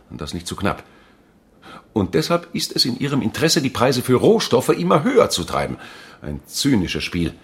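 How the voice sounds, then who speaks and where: An actor playing a defensive, righteous political protester in a German radio crime drama, arguing emphatically. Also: male voice, fairly low pitch. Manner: emphatic, argumentative. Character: defensive, righteous male anti-globalization protester.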